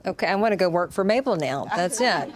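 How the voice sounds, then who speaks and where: A woman's voice: on the low side, expressive, fast talker. A woman moderating a panel, enthusiastic and full of praise for the speakers. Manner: gushing, enthusiastic.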